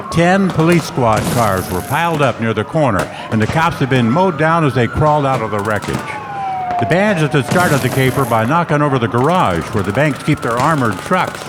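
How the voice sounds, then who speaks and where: A man's voice: medium pitch, close to an everyday voice. A man playing a tough hardboiled private detective, narrating his case in 1940s radio play style. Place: on stage in a hall. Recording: noisy echoey hall, live stage performance.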